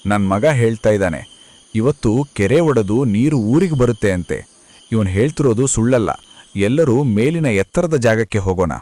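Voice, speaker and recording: A man's voice: lowish voice, animated, moderate pace, mellow. A man voicing a village father in a cartoon story, urging the people to listen in a firm, persuading way. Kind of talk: cartoon voice acting.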